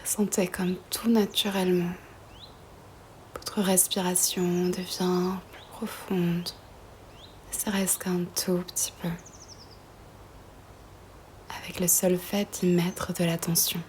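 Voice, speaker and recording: A woman guiding a yoga nidra meditation in a soft, breathy, half-whispered voice, soothing and gentle, with long pauses between phrases. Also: fairly low pitch, flat delivery, slight background noise.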